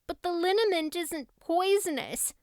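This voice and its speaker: A woman's voice: very high-pitched, unhurried, no echo, clean studio sound, pitched above the performer's own voice. A woman voicing a melodramatic, anguished, talkative young girl in a storybook, with a wailing, overwrought manner. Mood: sobbing despair.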